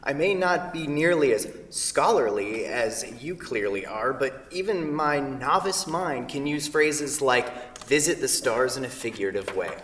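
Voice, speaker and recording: A man's voice: medium pitch, expressive, unhurried, few pauses, fairly bright tone, a little hiss or hum. A man reading the part of an awkward Midwestern writer in a staged play, hesitant and dryly defensive. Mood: hesitant, wry. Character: dry, awkward Midwestern freelance writer named Kent. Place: theatre, recorded from a distance. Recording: distant mic in echoey hall.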